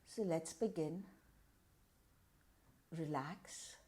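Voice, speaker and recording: A woman leading a guided meditation in a calm, measured, deliberate way, pausing between short phrases. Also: sits low, a little hiss or hum.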